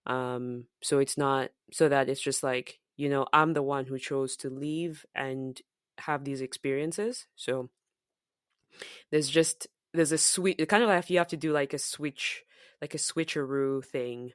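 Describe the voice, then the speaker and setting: Low-voiced slow Zambian woman. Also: steady tone, no room sound, studio quality. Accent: Zambian, softened by years in the US and UK. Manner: reflective and searching, with frequent fillers and restarts. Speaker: podcast co-host. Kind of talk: casual conversational podcast chat.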